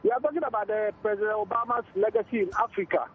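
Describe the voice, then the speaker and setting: Husky high-voiced man on a phone line. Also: fast. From Ghana. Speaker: caller. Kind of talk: call-in question.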